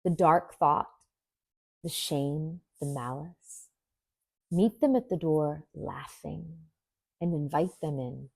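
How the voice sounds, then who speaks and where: A woman teaching yoga nidra, leading a guided meditation in a gentle, soothing manner with deliberate pauses. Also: low-pitched, creaky, breathy edge, no echo.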